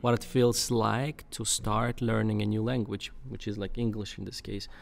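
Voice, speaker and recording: A young man with a low voice and a gravelly edge talks on a studio podcast. He thinks aloud, with frequent fillers and restarts, close to a boom mic. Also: little pitch movement, moderate pace. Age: young adult. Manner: thoughtful, many fillers and restarts. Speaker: podcast guest, English teacher. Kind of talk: casual conversation. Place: dark studio. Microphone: boom mic in front.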